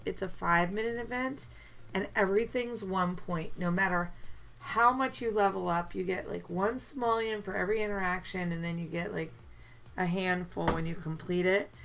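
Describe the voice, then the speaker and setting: Gravelly-voiced woman narrating a mobile game. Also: fairly low pitch, moderate pace, fairly bright tone. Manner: chatty, mildly complaining, lots of fillers. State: deepened voice from a chest cold. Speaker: let's play gamer. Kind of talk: casual gameplay commentary.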